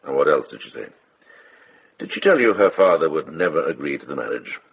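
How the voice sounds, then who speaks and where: An actor playing a shrewd older monk who investigates, in a gravelly voice, speaking with measured, probing authority in a radio drama. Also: male voice, rumbling low, big pitch swings, dull audio, heavily acted.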